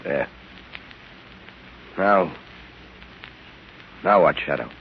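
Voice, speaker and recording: An actor playing a gruff, surly, hard-boiled gangster in 1930s radio style. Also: male voice, extremely low pitch, animated, very slow, slight background noise, heavily acted. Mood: sullen defeat. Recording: muffled 1930s radio recording.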